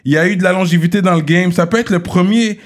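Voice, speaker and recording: Hyped Montreal French host, slangy. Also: male voice, high-pitched, quick pace. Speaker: podcast host. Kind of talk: casual interview with slang.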